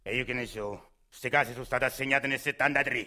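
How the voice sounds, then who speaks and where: A crude, menacing Roman landlord, as a man acts him out in a radio drama. He talks in a sly, coarse Roman accent with a sharp, tense and very bright tone. His pitch sits in a plain middle range. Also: fairly quick. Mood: sly swaggering. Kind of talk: radio theatre acting.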